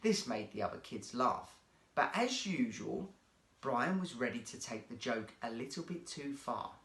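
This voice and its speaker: A man's voice: mid-range voice, measured pace. Guy reading a kids story, voice goes up and down loads, bit echoey. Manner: animated, theatrical storytelling. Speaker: guest storyteller. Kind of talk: expressive children's story narration. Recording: roomy, echoey sound.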